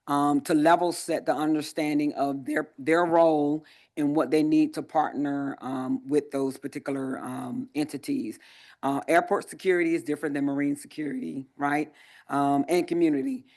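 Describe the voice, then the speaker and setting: A man presenting at a conference, answering audience questions in a measured way with frequent pauses and ums. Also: on the high side. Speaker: conference presenter, Air Force technology leader.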